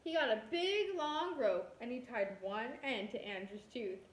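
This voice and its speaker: A woman's voice: a little high, expressive, a little slow. A woman kindergarten teacher reading a storybook aloud expressively, talking directly to young children. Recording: roomy echo.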